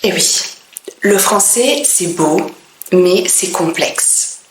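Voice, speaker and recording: A woman from France, a French teacher, speaking in a clear, deliberate way with pauses between phrases. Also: fairly low pitch, lively intonation, reverberant, slight background noise, poor audio. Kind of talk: slow, careful teaching monologue.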